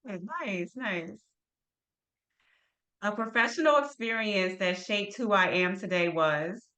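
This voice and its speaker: A woman hosting a podcast interview, affirming her guest with soft responses and asking questions. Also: medium pitch, slow. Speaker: podcast host.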